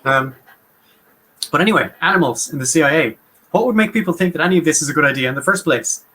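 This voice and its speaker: A man with a Munster Irish accent explains things in a quick, chatty, wry way. His voice sits a little high and sounds bright and forceful. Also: animated, breathy edge. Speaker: podcast host. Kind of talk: casual explanatory chat.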